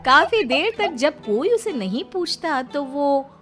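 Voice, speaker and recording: Animated woman narrator over prominent music. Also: sits high, slow. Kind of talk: animated scripted storytelling in Hindi.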